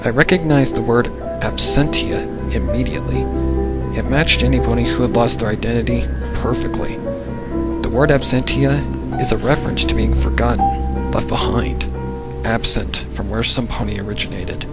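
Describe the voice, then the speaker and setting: A man reading a scripted dark fan fiction story in the first person as its narrator. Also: medium pitch, normal speed. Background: loud music.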